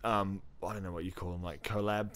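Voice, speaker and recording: Low-voiced man chatting, sweary and slangy. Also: fairly even intonation, brisk, a bit bright. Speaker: podcast co-host. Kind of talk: casual pop-culture chat.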